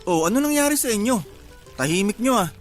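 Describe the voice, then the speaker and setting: Joking young boyfriend, radio drama: slightly high voice, easygoing and light, music bed. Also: male voice, lively intonation, a little fast.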